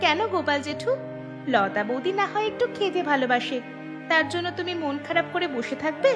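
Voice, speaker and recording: An actress plays a principled young village daughter in a cartoon. Her voice is extremely high, earnest and plaintive. Music is clearly heard behind her. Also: animated, a little fast. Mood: concerned. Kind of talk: animated cartoon voice acting.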